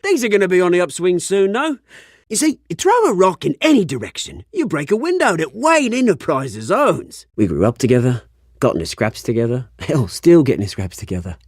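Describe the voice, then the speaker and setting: British revolutionary gangster, husky, very animated, charming yet menacing, fallen rich boy, dramatic game acting. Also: a little high, fairly quick, dry recording, studio quality. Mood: charming, warning. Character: bitter, menacing British crime-lord revolutionary, faded rich heir.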